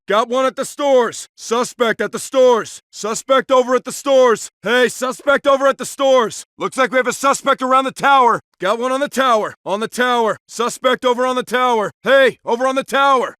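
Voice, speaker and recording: A man's voice: very high-pitched, bright, forceful tone, dry recording, clean studio sound, full character voice. A man playing an intense SWAT officer in a shooter game, making clipped, urgent, commanding tactical callouts. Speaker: video game voice actor.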